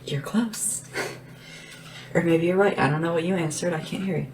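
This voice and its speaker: A small, caring assistant to a dragon ruler, acted by a woman in an ASMR roleplay. The voice is low and soft, often slipping into a whisper, with an unhurried, deferential manner. Clear noise and tapping sit behind the lo-fi recording. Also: lightly acted. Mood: playful, caring. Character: small, devoted, gentle assistant to a dragon monarch. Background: tapping and background hiss.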